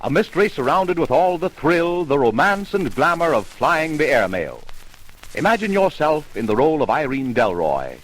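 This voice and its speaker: A man's voice: mid-range voice, poor audio. A man announcing a radio drama in a theatrical, declamatory 1930s style of scripted narration.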